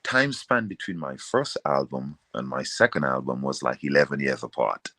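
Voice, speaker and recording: A man's voice: extremely low pitch, normal speed, pushed and bright, no room sound. A man talking casually and conversationally in an interview, as a reggae singer telling his story. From Caribbean, outside Jamaica. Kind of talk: casual interview, recounting a career.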